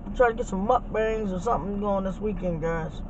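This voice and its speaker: A man's voice: high voice, a little fast, lo-fi sound. A man talking casually to his viewers while driving a truck, with hype slang and swearing, recorded on a dashboard camera. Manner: loud hype talk with slang and profanity. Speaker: vlogger. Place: inside a moving truck. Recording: loud road and engine noise.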